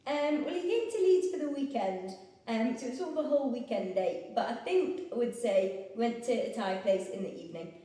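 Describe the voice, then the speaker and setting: A woman's voice: on the high side, animated, a little fast, dark tone. A young woman from England answering interview questions about her partner in a chatty way, with frequent ums. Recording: echoey room, mic far off.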